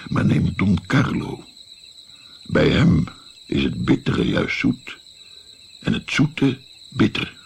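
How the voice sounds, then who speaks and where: Deep, gravelly old storyteller musician. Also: male voice, takes it slowly, long pauses, slight background noise, full character voice. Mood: musing. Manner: musing, hesitant, expressive. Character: older musician looking back, reflective, self-mocking narrator. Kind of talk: Dutch radio drama, storytelling in dialogue.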